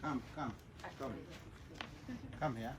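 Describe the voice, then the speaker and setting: A woman patiently coaxing a child with slow, repeated short prompts. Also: extremely low pitch, steady tone. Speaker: parent coaxing a child at an event. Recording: far from the mic, loud room noise.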